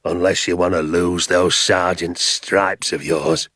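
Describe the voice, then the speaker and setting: A man voicing a blustering, pompous rich landowner who shouts and bullies, in a storybook reading. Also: low voice, little pitch movement, takes it slowly, dry recording, clean studio sound, exaggerated voice. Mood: threatening. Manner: shouting, indignant.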